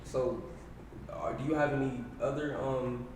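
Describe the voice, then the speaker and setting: A young man from Los Angeles co-hosting a casual podcast chat, loose and loud in his delivery. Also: mid-range voice, measured pace, distant mic, a little hiss or hum. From Los Angeles, USA. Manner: loose and animated, calls out loudly. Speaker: co-host. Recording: roomy echo, off-mic, music behind.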